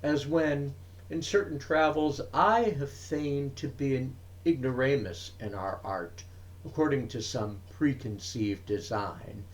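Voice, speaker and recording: A man's voice: mid-range voice, takes it slowly, natural voice, low-quality recording. A man voicing a learned, troubled ancient physician in a dramatic poem, speaking in a formal, pensive, emphatic manner. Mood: disdainful.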